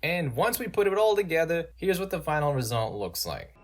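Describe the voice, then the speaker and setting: Higher-pitched man narrating a tutorial. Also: very animated, fairly quick, faint music behind. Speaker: video tutorial host and game creator. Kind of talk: casual tutorial voice-over.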